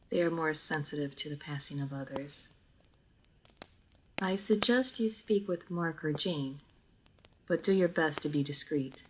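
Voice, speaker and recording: A reserved noble vampire lady played by a woman speaks in a low voice with measured, formal phrasing. She is guarded and melancholy, as in an intimate roleplay audio drama. There is audible noise behind her. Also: low-quality recording.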